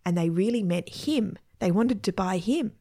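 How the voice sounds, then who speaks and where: A woman's voice: medium pitch, normal speed, warm tone, natural voice. A woman voicing a matter-of-fact male character who explains absurd sad tales in a children's story.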